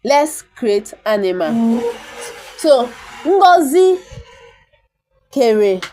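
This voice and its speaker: A woman's voice: sits high, sing-song, extremely slow, mellow, slight background noise. A woman, an Igbo speaker, teaching the language by reading a bible verse and repeating words for pronunciation. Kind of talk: reading and explaining a bible text.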